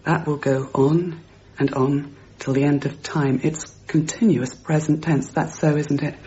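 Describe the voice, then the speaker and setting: An actress playing a rational, brittle grieving mother in a naturalistic radio drama, speaking in halting, repeated, self-correcting phrases. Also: sits low, fairly even intonation, warm tone, lo-fi sound. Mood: numb, grieving.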